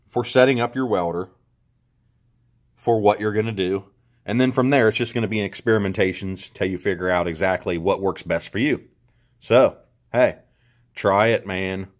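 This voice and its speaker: A middle-aged man talks through a hands-on how-to in an indoor garage, picked up by the camera's own mic. His voice sits somewhat low. He keeps it relaxed and plain-spoken, restarting a phrase here and there as he goes. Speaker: host. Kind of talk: casual how-to walkthrough.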